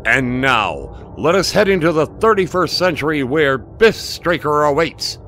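A man's voice: on the high side, sing-song, unhurried, a bit bright. A man playing the bombastic, dramatic announcer and narrator of an old-time space adventure radio serial. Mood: grandly excited. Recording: music under the voice.

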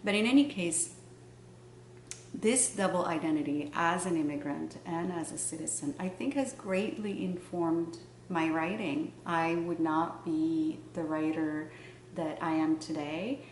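A middle-aged woman from Morocco, a novelist answering interview questions in a measured, thoughtful way with pauses, in a room in front of bookshelves. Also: low-pitched. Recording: roomy, distant mic, faint hiss.

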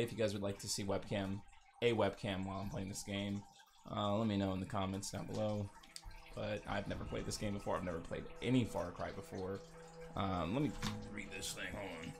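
Low-voiced man casually commentating a game. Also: gravelly. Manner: rambling, sarcastic asides. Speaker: gaming video host. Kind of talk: casual joking let's-play commentary.

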